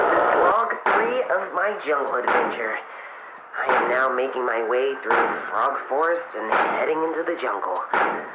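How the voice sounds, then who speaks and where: Through a muffled radio filter and clear noise comes a man acting as a young fox adventurer in an audio drama. He is earnest and hesitant, taking his time as he records his log and often letting thoughts trail away. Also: medium pitch. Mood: reflective.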